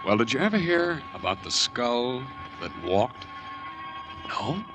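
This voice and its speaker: A man's voice: medium pitch, sing-song, takes it slowly. A man playing a wry, folksy sergeant who introduces a 1940s radio mystery show in a conversational way. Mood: wry, eerie. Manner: conversational, wry, hesitant musing. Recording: noisy 1940s radio recording.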